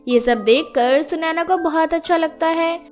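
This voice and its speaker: Casting: female narrator for moral tales, high-pitched, scripted storytelling delivery over faint music. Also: moderate pace.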